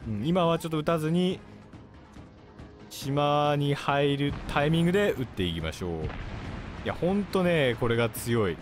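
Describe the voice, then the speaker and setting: A man's voice: a little high, expressive, moderate pace. A man commentating on his gameplay as a video host, explaining things in a polite manner. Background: game music, gunfire and artillery.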